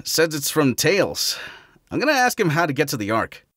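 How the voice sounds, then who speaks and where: A man's voice: on the high side, huge pitch swings, normal speed, a bit bright, no room sound, clean studio sound, full character voice. A man voicing an eager, friendly young male hedgehog in a fan audio drama, easygoing and upbeat.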